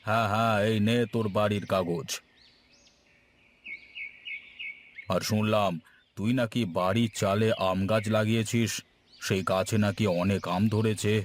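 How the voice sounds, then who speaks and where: A man's voice: lowish voice, fairly even intonation, moderate pace, a little hiss or hum, lightly acted. A man playing a stern, commanding village landlord in a cartoon story, talking down to people. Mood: calmer, condescending. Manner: commanding, condescending. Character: stern, domineering village landlord, demanding and curt.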